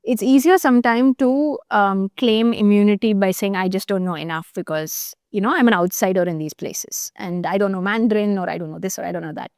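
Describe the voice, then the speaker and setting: A woman's voice: medium pitch, brisk, hardly any pauses, a little mellow, smooth, no echo. Thoughtful woman explaining, dry sound. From Chennai, India. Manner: thoughtful, explanatory, frequent fillers and restarts. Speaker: journalist guest. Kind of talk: conversational interview.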